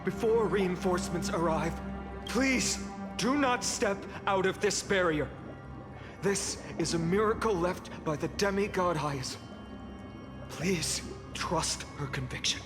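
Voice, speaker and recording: A young heroic warrior for a video game, with a fairly high voice. He speaks in measured, formal phrases, earnest and resolute, over clear background music. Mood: solemn resolve.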